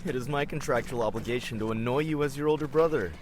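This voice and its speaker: An actor playing a playful, sarcastic older brother in a naturalistic audio drama. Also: male voice, mid-range voice, fast, heavy background noise, natural voice. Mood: teasing. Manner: sarcastic, casual.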